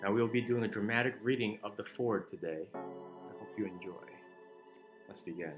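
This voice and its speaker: A man giving a dramatic, emphatic reading of a book, with deliberate pauses. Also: fairly low pitch. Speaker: channel narrator reading a book aloud. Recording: roomy echo with music underneath. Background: soft chill music.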